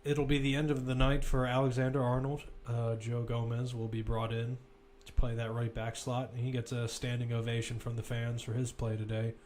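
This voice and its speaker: Male football commentator, mid-pitched, live running play-by-play with off-the-cuff asides and corrections. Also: flat delivery, normal speed, few pauses, darkish tone, a little hiss or hum.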